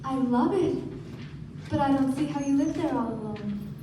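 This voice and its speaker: Echoing distant stage sound, woman playing a high-pitched airy socialite, flirtatious, affected, radio drama. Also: normal speed, lightly acted. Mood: fond. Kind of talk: live radio play acting. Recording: very echoey, distant, noisy stage recording.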